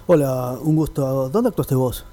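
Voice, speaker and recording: A man's voice: mid-range voice, a little slow, dark tone. A man playing a vain, breezy television actor with an Argentine accent, casual and slangy, in a radio comedy. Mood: self-satisfied. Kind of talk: comic radio play acting.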